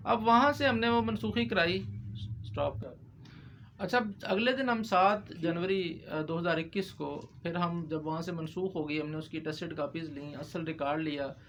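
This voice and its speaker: A man's voice: sits high, a bit bright. A man explaining legal procedure as a lawyer in discussion, mixing in English legal terms. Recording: clear background noise.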